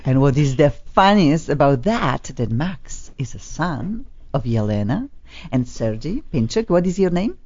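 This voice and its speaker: Low, lively Polish-accented woman host. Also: measured pace, highs cut off. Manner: enthusiastic, repeats words for emphasis. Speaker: talk show host. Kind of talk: casual studio talk show interview. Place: studio on a top floor.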